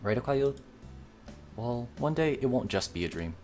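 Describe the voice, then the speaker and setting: Male reader as shy young demi-human girl baker in a visual novel; earnest, eager, very expressive. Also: mid-range voice, normal speed, faint music behind, close to an everyday voice.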